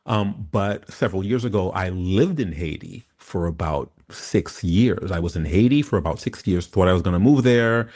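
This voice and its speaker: A man's voice: low-pitched, animated, normal speed, hardly any pauses, a little mellow. An American man hosting a conversational talk show, often chiming in and repeating phrases for emphasis. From United States.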